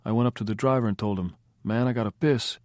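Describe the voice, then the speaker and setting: A man voicing a cocky, blunt small male drummer, telling rough stories. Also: lowish voice, fast, darkish tone, no room sound, clean studio sound, pitched above the performer's own voice, natural voice.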